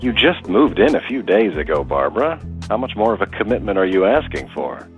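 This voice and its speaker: An actor playing a guarded, easily riled wealthy older brother in an audio soap opera, curt and dismissive. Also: male voice, on the low side, huge pitch swings, fairly quick, creaky, soft background music, full character voice. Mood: cold, irritated. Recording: narrow, phone-like sound.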